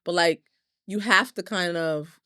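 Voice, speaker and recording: A woman's voice: on the low side, measured pace, sharp tone, clean tone, dry recording, clean studio sound. An American woman in a casual podcast discussion, explaining her opinions emphatically in slangy, sweary speech. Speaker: podcast panelist.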